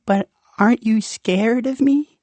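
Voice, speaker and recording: A man voicing a shy, astonished green monster who speaks in a timid, halting, disbelieving way, in a children's storybook. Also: very high-pitched, a little mellow, no room sound, voice pushed up. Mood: timid surprise. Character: shy, astonished green-scaled misfit monster with crooked teeth.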